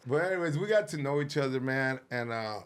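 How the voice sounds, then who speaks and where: A man hosting a comedy podcast, excitable and laughing often, swearing freely and calling his guest bro. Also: medium pitch, fairly quick, heavy background noise. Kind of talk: casual podcast chat.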